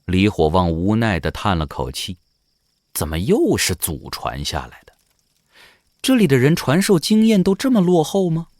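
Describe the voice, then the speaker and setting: A man's voice: medium pitch, wildly swooping pitch, normal speed, darkish tone, dry recording, clean studio sound. A man narrating an audio drama in a dramatic, scripted storytelling style.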